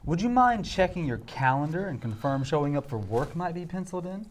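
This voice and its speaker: A man's voice: a little high, sing-song, fairly quick. A man playing a cocky, bookish police detective of thirty in a screenplay table read, sarcastic and needling.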